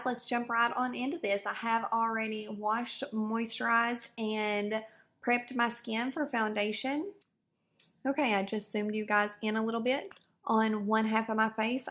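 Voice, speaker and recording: A woman with a hoarse voice from a cold, chatting her way through a beauty product review as a vlogger. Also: medium pitch, fairly bright tone. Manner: chatty, explains each step as she goes. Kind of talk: casual product review to camera.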